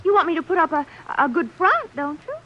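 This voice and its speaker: A woman's voice: very high voice, sing-song, fairly quick, slight background noise. An American woman playing a prim, devoted, disapproving secretary in a 1940s radio drama, girlish and earnest. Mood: pleading, doubtful. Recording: dull vintage 1940s radio recording.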